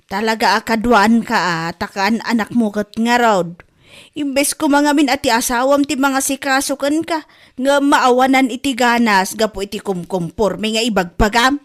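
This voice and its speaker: Woman as a scolding Ilocano mother, highish voice. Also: normal speed, lightly acted. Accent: Filipino, Ilocano. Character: scolding mother, firm and disapproving. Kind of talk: Ilocano radio comedy drama acting.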